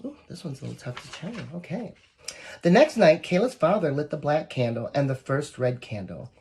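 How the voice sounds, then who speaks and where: Storybook reader, very animated intonation, expressive, talks directly to the kids between lines. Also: medium pitch, moderate pace, slight background noise. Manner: expressive, addresses young listeners directly. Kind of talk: children's picture book read-aloud with asides.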